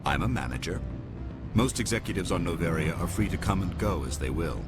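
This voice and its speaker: An actor playing an old alien businessman in a video game, suave and dryly scheming, with measured formal speech. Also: low-pitched, lively intonation. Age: older. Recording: music behind the voice.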